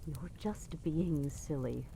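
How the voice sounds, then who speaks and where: A woman's voice: low voice, takes it slowly, vocal fry, warm tone, a little hiss or hum, heavily acted. A woman playing a haughty middle-aged queen and guilt-tripping mother in an audio drama, dismissive and cajoling by turns. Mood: exasperated, cajoling. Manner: dismissive, cajoling, imperious, with exasperated sighs. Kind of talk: audio drama podcast acting.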